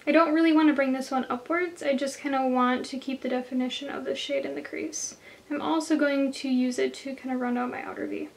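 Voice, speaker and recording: A woman with a hoarse, slightly nasal voice, chatting through a makeup tutorial for her viewers. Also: sits high, steady tone, brisk. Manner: chatty, talks straight to viewers. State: hoarse from a cold. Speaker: beauty vlogger.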